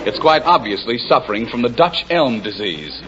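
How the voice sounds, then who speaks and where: A man's voice: mid-range voice, very animated, moderate pace, a bit bright, some chatter behind. A man with a dry, wry manner, doing scripted 1940s radio comedy banter as host on an old broadcast. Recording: muffled, noisy 1940s radio recording.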